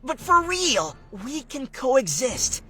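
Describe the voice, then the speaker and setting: A man's voice: very high-pitched, sing-song, slow pace, bright, forceful tone, full character voice. A man voicing a brave, level-headed young cartoon dragon in an earnest, resolute manner. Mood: earnest, pleading. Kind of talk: animated cartoon voice acting. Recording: music behind the voice.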